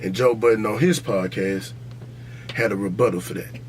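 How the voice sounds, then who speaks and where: A man's voice: lowish voice, normal speed. Blunt American man debating, faint noise behind. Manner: blunt, profane, repeats himself for emphasis. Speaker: podcast host. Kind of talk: casual opinionated debate.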